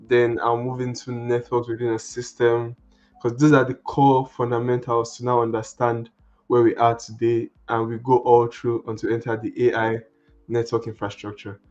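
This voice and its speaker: A man's voice: medium pitch, darkish tone, lo-fi sound. Nigerian man presenting a tech workshop. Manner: casual, friendly, with restarts. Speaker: guest speaker, network engineer.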